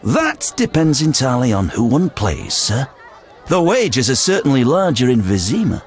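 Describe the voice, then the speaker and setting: A wheedling dice gambler voiced by a man from a fantasy game, in a medium-pitched voice that swoops dramatically. He is courteous and flowery, with an insinuating manner. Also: sing-song, moderate pace, close to an everyday voice. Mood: sly. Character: smooth-talking, wheedling male dice gambler. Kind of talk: video game dialogue acting.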